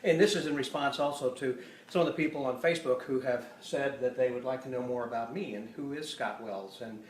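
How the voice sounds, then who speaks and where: A man's voice: medium pitch, a little fast. A chatty man in his sixties from the Midwest talks about himself and laughs easily, often restarting his sentences. He sounds a little off the mic in an echoey room, with faint noise underneath. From Illinois, US Midwest. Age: 60s. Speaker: podcast host being interviewed. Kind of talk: casual chat. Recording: roomy live stream from a distance, faint noise.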